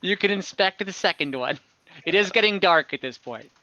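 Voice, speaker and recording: A man narrates a tabletop game as its game master in a bright, somewhat high voice. He speaks conversationally, stopping and rephrasing as he invents the scene. The sound is close and dry, slightly dulled like an online call. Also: animated, lo-fi sound. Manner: conversational, halting, often rephrasing as he describes scenes.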